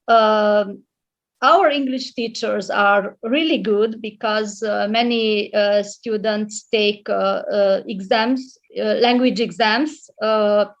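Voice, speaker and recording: A middle-aged woman from Romania, a librarian and English tutor, speaking as a guest in a casual video-call interview, hesitant with many fillers and restarts. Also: mid-range voice. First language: Hungarian. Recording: muffled lo-fi audio.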